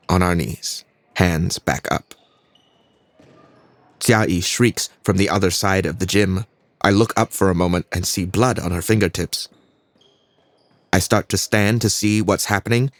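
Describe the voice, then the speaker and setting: An actor playing an earnest young fighter narrating his own story in a measured way, with a Singaporean accent. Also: sits low, dry recording. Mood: tense, focused. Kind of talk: scripted first-person audio drama narration.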